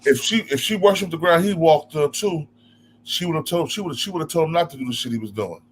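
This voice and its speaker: A man with a fairly high voice who talks fast in casual chat. He repeats words and restarts as he pushes his point. A faint hum sits under his voice. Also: animated, low-quality recording.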